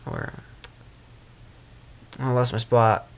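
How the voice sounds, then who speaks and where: Animated man reading a story aloud. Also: mid-range voice, drawn-out words, vocal fry. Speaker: amateur fan fiction reader.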